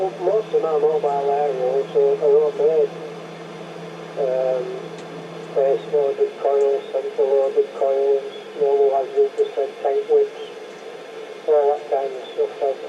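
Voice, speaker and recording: Older Northern English man on noisy CB radio. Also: fairly high pitch. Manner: emphatic, rambling, repeats himself. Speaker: radio hobbyist. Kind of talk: casual chat over CB radio. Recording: muffled CB radio transmission with loud noise.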